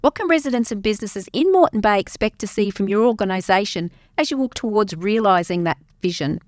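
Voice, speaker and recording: A woman's voice: medium pitch, animated, fast, no room sound, studio quality. A woman presenting community radio, reading prepared interview questions in a friendly manner. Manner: friendly, clearly scripted questions.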